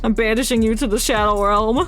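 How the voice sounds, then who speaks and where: A woman's voice: a little high, steady tone, brisk. A woman giving casual, rambling gameplay commentary, half muttering to herself as she plays. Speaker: game streamer. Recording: loud game music behind. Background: game music and combat sounds.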